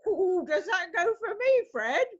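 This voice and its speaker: A woman's voice: very high-pitched, sing-song, a little slow, highs cut off, full character voice. A woman playing a cheeky, gossipy older neighbour in an amateur comedy sketch. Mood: gossipy, amused.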